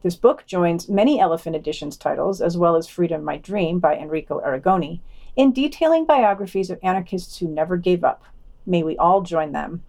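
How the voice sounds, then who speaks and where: A woman's voice: lowish voice, expressive, fairly quick, a little mellow. A woman reading scripted news items and long quotations for a podcast, dropping in wry asides.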